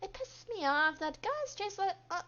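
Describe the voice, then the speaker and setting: Woman putting on a grating, high-pitched brash young woman. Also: lively intonation, moderate pace, pushed and bright, exaggerated voice. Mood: jealous, indignant. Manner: slangy, folksy, confrontational. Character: brash, jealous, grating young female office coworker. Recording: dull, lo-fi microphone.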